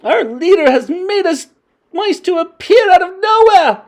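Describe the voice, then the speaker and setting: A woman voicing a frenzied crowd of female witches, screaming in high, shrill voices. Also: lively intonation, unhurried, a little mellow.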